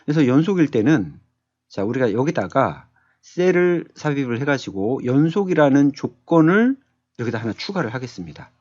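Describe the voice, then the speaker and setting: A man's voice: medium pitch, big pitch swings, normal speed, darkish tone, dry recording. A man giving a step-by-step tutorial as an instructor, thinking aloud conversationally and often checking in with short tag questions. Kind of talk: screen tutorial, explaining step by step. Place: indoors, screen-capture voice-over. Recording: dull lo-fi close voice-over.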